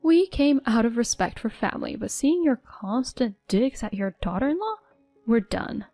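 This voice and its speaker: A woman's voice: on the high side, very animated, normal speed, mellow, dry recording, faint music behind. Brief: outspoken relative with a scolding tone, storybook style, read by a woman. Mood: fed up. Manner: blunt, scolding. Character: blunt fed-up relative at a family gathering.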